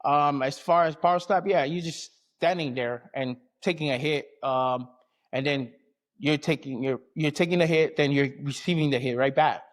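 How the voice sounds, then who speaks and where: Man, fairly high voice, from American Samoa and California, athlete guest, colloquial, conversational. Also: steady tone, normal speed. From American Samoa and Southern California. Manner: colloquial, frequent "you know what I mean". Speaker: combat sports athlete being interviewed. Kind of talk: casual conversation.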